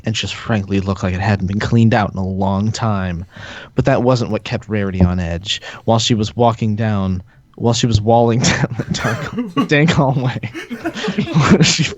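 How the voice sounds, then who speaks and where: A man with a lowish voice reading a story aloud, tripping over lines and laughing through them. The sound is dry and dull, from a close lo-fi mic. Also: darkish tone, dry recording. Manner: stumbles and laughs while reading. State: sick. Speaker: narrator of a group fan-fiction reading. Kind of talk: comedic read-aloud narration.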